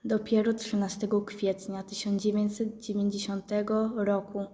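Młoda lektorka o ciemnej, równej barwie głosu. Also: female voice, medium pitch, little pitch movement, mellow. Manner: measured, formal delivery. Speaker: narrator. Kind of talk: solemn scripted narration.

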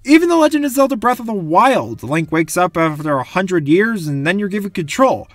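A man's voice: sits high, a little fast. A young man giving enthusiastic, gushing scripted commentary as a video game reviewer. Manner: gushing, animated.

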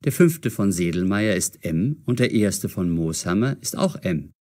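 A man acts out a puzzle-solving young detective in a learners' audio drama. His voice is on the low side, with very lively intonation. He speaks eagerly and enunciates every word. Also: a little slow. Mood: reasoning. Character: Max, eager, puzzle-solving young male amateur sleuth.

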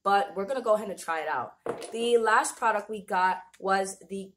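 Slightly raspy young woman vlogging. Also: mid-range voice, moderate pace, fairly bright tone, slight background noise. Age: young adult. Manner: chatty, informal. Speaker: beauty vlogger. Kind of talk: casual product review to camera. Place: small indoor room, plain backdrop.

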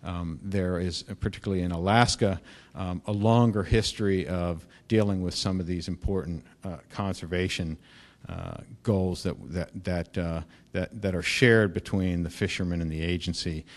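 Middle-aged man, keynote speaker, lowish voice, lots of ums and restarts, reverberant hall, podium mic. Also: fairly even intonation. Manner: halting, many fillers and restarts; explanatory, rising in energy on key points. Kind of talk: conference keynote lecture. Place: lecture hall.

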